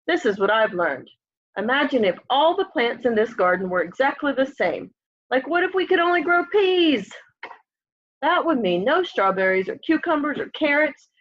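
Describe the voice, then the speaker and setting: A young woman reads a picture book aloud to children in a fairly high, slightly husky voice. She is expressive and talks straight to her young listeners. The sound is dull and lo-fi, like a home webcam. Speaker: storybook reader. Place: room at home, indoors.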